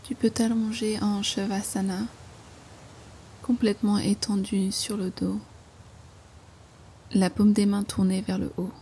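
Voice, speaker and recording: A woman guiding a yoga nidra relaxation in slow instructions, with hushed, breathy phrase endings and an unhurried manner. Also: mid-range voice, level tone, a little hiss or hum. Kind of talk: guided meditation, slow soothing instruction.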